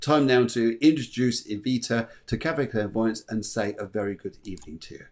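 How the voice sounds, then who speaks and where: A man's voice: medium pitch, moderate pace, darkish tone. A man hosting a casual live-stream chat, upbeat and chatty, often repeating his words. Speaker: host.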